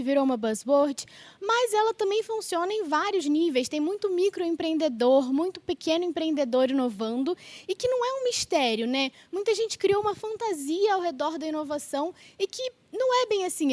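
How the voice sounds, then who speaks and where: High-pitched Brazilian woman hosting. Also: dry recording. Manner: explanatory, polite, builds up to questions. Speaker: podcast host. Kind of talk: conversational interviewing.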